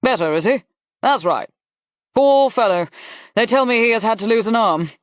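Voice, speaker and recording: A narrator voicing a brisk, blunt aristocratic general in an audiobook, with clipped, emphatic phrasing. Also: mid-range voice, animated, no echo, studio quality, voice pushed up, natural voice. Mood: brisk, concerned. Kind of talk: audiobook character dialogue.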